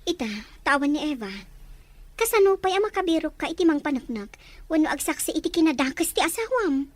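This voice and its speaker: A woman's voice: sits high, expressive, a little fast. A woman acting a concerned, loyal younger sibling in an Ilocano-accented radio melodrama. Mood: worried.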